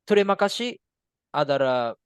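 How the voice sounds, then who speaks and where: A man from Osaka with a Japanese accent, a language student speaking hesitantly with many pauses and fillers. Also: on the high side, big pitch swings, clear, resonant tone, no echo.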